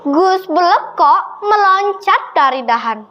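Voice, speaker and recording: Very slow, high-voiced girl storyteller. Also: lo-fi sound, background noise. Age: child. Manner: slow, deliberate, expressive delivery. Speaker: storytelling contest participant. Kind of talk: dramatic children's storytelling.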